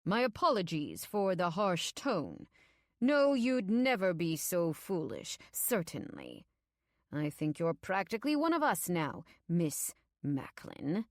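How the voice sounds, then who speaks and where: A woman's voice: mid-range voice, animated, slow pace, dry recording, studio quality, pitched above the performer's own voice. A woman voicing a stern, sinister man in an audiobook, with formal, ominous politeness and an accent not quite British. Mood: smugly ominous. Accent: ambiguous, not quite British. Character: stern, menacing tall husband, icy and smugly sinister. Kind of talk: audiobook character acting.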